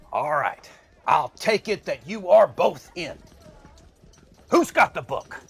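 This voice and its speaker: A man's voice: high-pitched, big pitch swings, drawn-out words, vocal fry, pauses often, breathy edge, full character voice. A man playing a jittery, exasperated, hard-drinking character in an afterlife audio drama, speaking dramatically. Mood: weary, dramatic.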